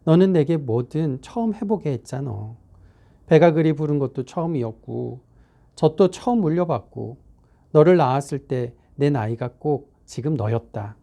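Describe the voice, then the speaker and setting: A man reading aloud in the voice of a mother who remembers raising her child, with a Korean regional dialect and a soft, reminiscing tone. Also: on the high side, expressive, moderate pace, vocal fry. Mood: tender. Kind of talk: dramatic reading of a text.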